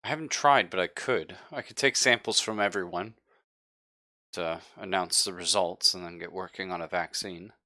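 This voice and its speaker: A man playing an anxious, apologetic ship's doctor in an improvised tabletop roleplay, speaking haltingly and carefully. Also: deep voice, pushed and bright, dry recording, studio quality. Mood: hesitant, earnest.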